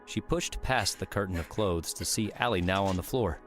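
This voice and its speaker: A man's voice: low voice, moderate pace. A man narrating a scripted audiobook story, with music playing underneath.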